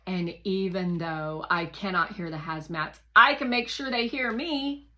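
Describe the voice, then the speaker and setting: Woman, bright forceful voice, slow pace, expressive children's audiobook narration, deliberate emphasis. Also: fairly low pitch. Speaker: read-aloud narrator.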